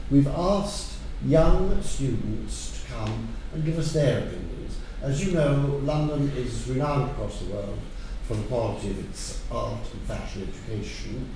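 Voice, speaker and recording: An older man hosting a live panel discussion, speaking in a measured, genteel way that trails off softly. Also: mid-range voice. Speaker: panel host. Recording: echoey studio with faint noise.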